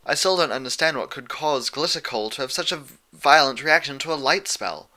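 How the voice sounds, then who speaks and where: A man voicing a studious, anxious young female pony scholar, earnest and halting in manner. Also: a little high, expressive, bright, forceful tone, voice pushed up. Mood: baffled.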